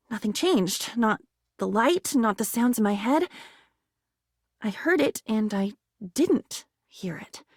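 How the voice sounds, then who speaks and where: Game character, living diary girl come to life, high voice, swoops around a lot, intense n whimsical, poetic halting delivery. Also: no room sound, studio quality, full character voice. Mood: haunted, intense. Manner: theatrical, halting, poetic musing with sudden bursts. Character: sentient diary woman, intense, whimsical, newly self-aware. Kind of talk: video game character voice acting.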